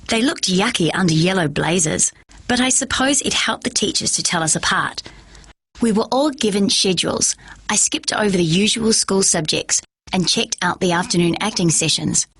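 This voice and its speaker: A woman with a husky voice reading a children's novel aloud in steady first-person narration. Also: mid-range voice, normal speed, no room sound. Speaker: storyteller.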